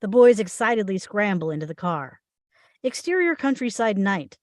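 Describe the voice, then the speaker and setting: A woman's voice: medium pitch, big pitch swings, moderate pace, a little mellow, dry recording, clean studio sound. A woman reading screenplay stage directions aloud as the narrator of a table read.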